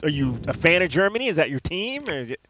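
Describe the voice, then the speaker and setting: A man's voice: a little high, sing-song, fast, background noise. A man hosting a live sports radio interview, asking friendly, conversational questions at an arena media day.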